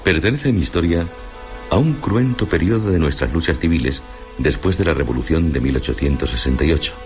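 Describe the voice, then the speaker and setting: An actor playing a sombre teller of sinister tales, emphatic and portentous in radio style. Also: male voice, a bass voice, animated, a little fast. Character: sombre male storyteller and presenter of sinister tales. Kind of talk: dramatised radio storytelling. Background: faint background music.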